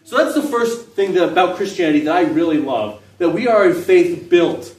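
A middle-aged man preaching a sermon in an open-air park pavilion, with measured delivery and phrases that trail off softly at the ends. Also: high voice, animated, far from the mic. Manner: measured preaching with falling, soft phrase endings. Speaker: pastor. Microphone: stand mic, filmed from a distance. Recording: echoey pavilion, voice from off-mic.

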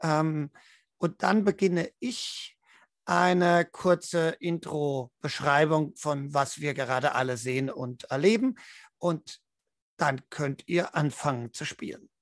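A man's voice: fairly high pitch, takes it slowly, no echo, clean studio sound. A man leading a tabletop role-playing game as game master, explaining and narrating. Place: indoor room at home, online video call.

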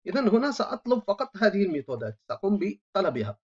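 A programming instructor, explaining code while typing, thinking aloud with frequent drawn-out filler sounds. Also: mid-range voice, big pitch swings, slightly rough. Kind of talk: screen-recorded coding tutorial. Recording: dull lo-fi voice-over mic.

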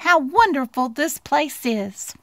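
A squeaky little storybook mouse, played by a woman, very young and full of wonder. The voice sits high and swings eagerly up and down, always asking and marveling. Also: lively intonation, takes it slowly, fairly bright tone, no room sound, full character voice. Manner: eager, wide-eyed questioning. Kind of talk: storybook character voice.

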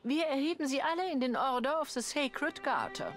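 A regal, middle-aged queen from a video game drama. She speaks high and formally, as one used to giving orders. Soft music plays behind her. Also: sing-song, normal speed. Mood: stately, commanding. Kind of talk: dramatic game cutscene acting.

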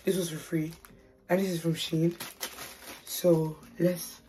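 A young woman with a deep, raspy voice, chatting playfully and slangily to camera in a small bedroom. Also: little pitch movement, takes it slowly, background noise. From United Kingdom. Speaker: haul vlogger. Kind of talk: casual chat to camera.

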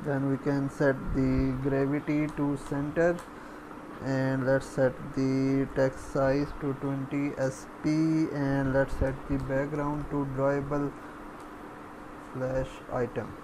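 A man talks through a screencast programming lesson at a slow, halting pace, thinking aloud as he goes. His delivery is very flat, with little movement in pitch. There is clear noise behind his voice. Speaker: programming tutorial instructor. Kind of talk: unscripted screencast coding tutorial. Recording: clear background noise, room ambience.

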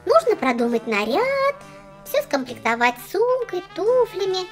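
A tiny, very high doll-girl voice for a children's cartoon. She is haughty and theatrical, with lively swings of pitch. Soft music plays behind her. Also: a little slow, heavily acted. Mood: dramatic fussing. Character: dramatic, haughty little doll girl, fussy and boastful.

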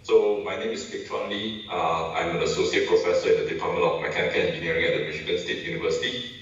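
A man from Singapore delivers an academic talk remotely, his very low voice hesitant and full of fillers. His sound comes through a video call played over hall speakers, distant, muffled and ringing with heavy echo. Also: steady tone, fast talker. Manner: casual, hesitant with many fillers. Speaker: keynote speaker, associate professor. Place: large lecture hall.

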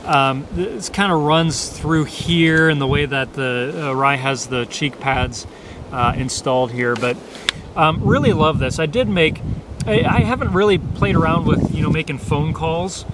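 A man in his thirties with a slightly high voice talks through gear in a loose, unscripted review. He meanders and hesitates as he explains. It is recorded outdoors on a camera, with clear background noise. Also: unhurried. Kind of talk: casual, unscripted product review. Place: outdoors in dry grass by parked motorcycles.

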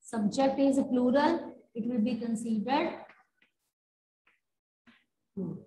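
A high-pitched woman with an Indian English accent teaches grammar, repeating each point and asking her class whether it is clear. Her voice carries a roomy echo from the classroom, picked up by a lapel mic. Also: slow pace, dark tone. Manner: repetitive, checks in with students often. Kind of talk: online grammar lesson at a chalkboard. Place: classroom in front of a chalkboard.

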